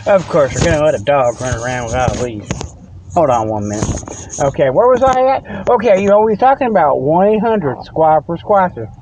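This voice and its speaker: A man with a slightly high voice rambles in a folksy, easygoing way, laughing often and tagging his points with "okay?". He talks into a tablet from a parked car. Faint outdoor noise sits behind him. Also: sing-song, brisk, darkish tone, rough recording. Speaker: host of a comedy podcast segment. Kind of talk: rambling casual monologue. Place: in a parked vehicle in a parking lot.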